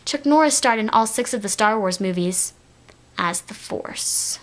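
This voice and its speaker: A woman's voice: medium pitch, expressive, unhurried. A teenage girl from Texas with a light Texan accent, chatting to camera in a rambling vlog with lots of fillers and restarts. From Texas, United States. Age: teens. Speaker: vlogger. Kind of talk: casual talk to camera.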